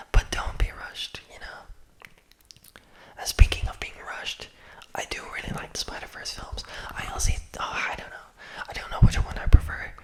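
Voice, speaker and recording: A young adult ASMR creator in a close-mic whisper, chatting loosely with lots of fillers and often losing the thread. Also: rough recording. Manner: rambling and loose, heavy on "like" and "um" fillers; often loses the thread of the words. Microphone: close-up mic, near enough to pop.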